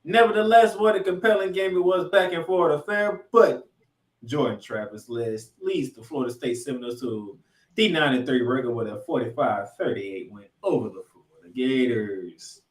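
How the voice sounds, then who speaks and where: A man's voice: mid-range voice, moderate pace. Echoey, emphatic male sports podcast host. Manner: emphatic, showman-like delivery with dramatic announcements. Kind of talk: animated sports recap commentary. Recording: echoey room, distant from mic.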